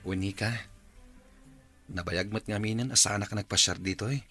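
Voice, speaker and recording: A man's voice: fairly low pitch, normal speed, sharp tone, husky, soft background music. An actor playing a friendly, courteous young man visiting in a radio drama, with a Filipino accent. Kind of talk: Ilocano radio drama acting.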